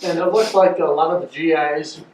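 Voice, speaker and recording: A man with a slightly high voice asks casual questions from the audience. He is far from the mic in an echoey room, with faint noise around him. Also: fairly even intonation, normal speed. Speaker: audience member asking questions. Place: indoor meeting room, among audience.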